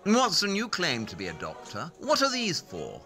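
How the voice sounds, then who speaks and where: An actor playing an arrogant, brilliant detective in an audio drama, haughty, clipped and formal. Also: male voice, high-pitched, huge pitch swings, moderate pace, pushed and bright, soft background music, heavily acted. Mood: brisk, probing.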